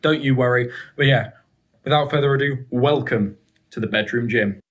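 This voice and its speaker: A man's voice: medium pitch, moderate pace, faint music behind. Chatty young man giving a room tour. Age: 20s. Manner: upbeat, chatty, many fillers. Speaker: fitness vlogger. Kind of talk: casual home gym tour. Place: small bedroom, indoors. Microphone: handheld phone camera.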